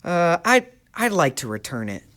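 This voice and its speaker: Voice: medium pitch, big pitch swings, normal speed, fairly bright tone. A performer playing an eager, good-hearted schoolboy in a puppet show, speaking in an earnest, boyish way. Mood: sheepish. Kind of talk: church puppet show dialogue.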